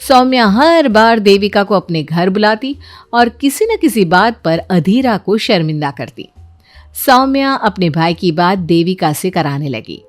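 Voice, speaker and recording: A woman's voice: mid-range voice, lively intonation, measured pace, soft background music. A woman storyteller reading scripted narration for a moral bedtime story.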